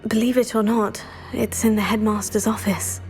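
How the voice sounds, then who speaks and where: A woman's voice: mid-range voice, little pitch movement, normal speed, a little mellow, faint music behind, close to an everyday voice. An actress playing an earnest, curious young student witch, in a video game cutscene.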